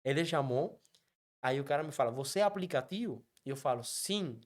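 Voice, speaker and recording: Fast-talking man with a Cuban Spanish accent. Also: mid-range voice. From Cuba. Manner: animated, quick, mixes Spanish words into Portuguese. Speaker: guest, app driver. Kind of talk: casual storytelling interview.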